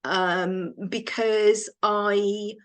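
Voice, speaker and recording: An English woman, a counsellor and trainer, giving a reflective training talk with measured pacing and frequent restarts. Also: mid-range voice, steady tone, fairly bright tone, smooth.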